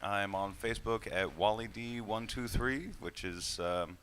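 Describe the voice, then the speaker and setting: A man with a lowish voice that still sounds bright tells a story on a comedy panel. He pauses and restarts often, correcting himself mid-sentence. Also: a little hiss or hum. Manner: hesitant, self-correcting storyteller. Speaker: stand-up comedian. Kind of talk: casual panel chat.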